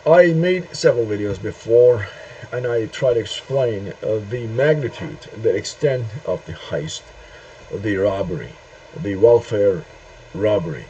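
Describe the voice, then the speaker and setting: A middle-aged man from Turkey, speaking a halting opinion monologue to camera with many pauses and "uh"s. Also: on the low side, animated, mellow. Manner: halting, searching for words with frequent "uh" and "um" pauses. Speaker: video host. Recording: dull lo-fi sound with faint noise.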